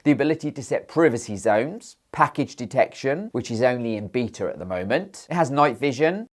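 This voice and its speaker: British man with very animated delivery. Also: mid-range voice, fairly quick. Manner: playful, informal asides. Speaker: tech reviewer and channel host. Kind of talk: scripted video review narration with jokey asides.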